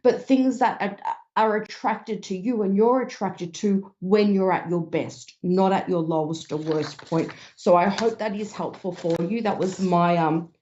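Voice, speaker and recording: A woman hosting a psychic advice show, a little hoarse and talking earnestly with many fillers. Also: medium pitch, steady tone, unhurried, dark tone. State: slightly hoarse, losing her voice. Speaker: psychic radio host. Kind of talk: casual advice talk. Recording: dull lo-fi stream.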